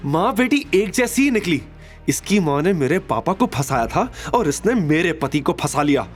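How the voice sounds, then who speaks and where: A man's voice: on the high side, sing-song, normal speed, soft background music, voice pushed up. A man voicing a vain, sneering young woman, a gloating ex-wife in an audio drama. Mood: shrill anger. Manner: boastful, sneering, shouting.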